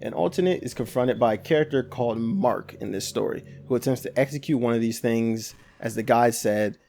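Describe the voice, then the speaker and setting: Gravelly young man with casual commentary. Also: mid-range voice, fairly quick, a little mellow, soft background music. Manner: casual, rambling, frequent restarts and slang. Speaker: YouTube commentator.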